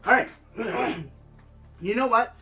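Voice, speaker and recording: A gaming livestream host speaks casually at a middling pitch, with a nose stuffed up from a head cold. The delivery is chatty, moving between explanation and calling out viewer comments. Music plays behind the voice. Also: slow pace, bright, forceful tone. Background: background music.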